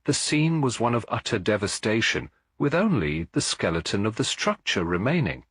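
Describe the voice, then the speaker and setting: A male narrator reads a scripted mystery story in a low voice with a husky grain. His pitch moves expressively, and the sound is dry and close. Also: sing-song, normal speed, fairly bright tone, dry recording.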